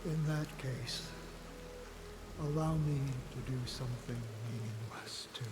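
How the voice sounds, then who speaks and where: A man voicing a grave, courteous, melancholy philosopher in a video game, speaking formally and deliberately with weighty pauses. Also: medium pitch, background noise, natural voice. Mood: melancholy, earnest. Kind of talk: video game voice acting, formal dramatic delivery.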